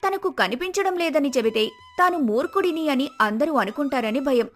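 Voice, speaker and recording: A woman narrates a scripted moral story. Her voice is fairly high, with music playing softly behind it. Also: animated, normal speed.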